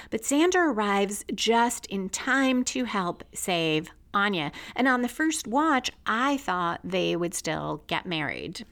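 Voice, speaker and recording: A woman hosting a podcast, reading a scripted recap and story analysis in a measured, explanatory way. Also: fairly high pitch, fairly bright tone. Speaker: podcast host.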